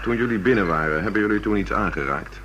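An actor playing a businesslike middle-aged chief inspector in a Dutch radio drama, questioning in a matter-of-fact way. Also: male voice, lowish voice, lively intonation, fast, lightly acted. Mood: inquisitive. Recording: noisy old radio broadcast recording.

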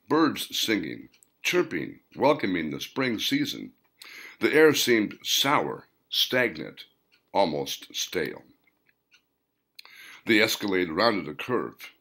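A man reading a story as a scripted audiobook narration, in deliberate, dramatic phrases broken by pauses. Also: on the low side, pushed and bright. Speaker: author reading own novel, narrator.

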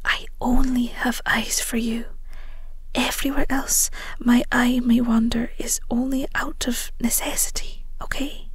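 A woman voicing an anxious, apologetic young fighter in a scripted audio roleplay, breathy, halting and stammering. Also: fairly high pitch, level tone, no room sound, whispered, heavily acted. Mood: pleading.

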